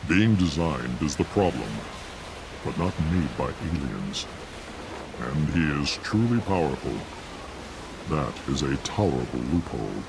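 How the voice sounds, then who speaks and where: A man playing a disdainful, calculating alien clan leader in a video game, with a deep, gravelly voice and a condescending manner. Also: sing-song, a little slow, noisy background, heavily acted. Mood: grudging, calculating. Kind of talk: dramatic video game acting.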